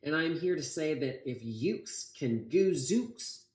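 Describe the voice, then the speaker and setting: A man voicing a belligerent old storybook creature who yells threats. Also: a little high, lively intonation, slow, pitched above the performer's own voice. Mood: defiant. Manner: yelling threats. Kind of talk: storybook read-aloud. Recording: echoey room.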